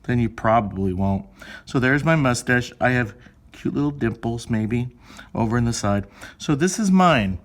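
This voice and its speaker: A man's voice: mid-range voice, animated, moderate pace. A man speaking as an elementary school teacher, chatting informally through step-by-step instructions for young children and thinking aloud.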